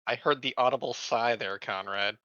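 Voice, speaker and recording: A man's voice: mid-range voice, normal speed, sharp tone, no room sound. A man chatting casually during a tabletop game in an amused, teasing way, on slightly dull, dry audio.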